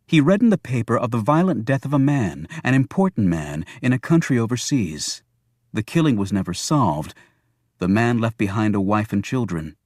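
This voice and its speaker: A man's voice: mid-range voice, very animated, moderate pace, a little mellow, dry recording, studio quality. A man narrating a thriller novel as a scripted audiobook.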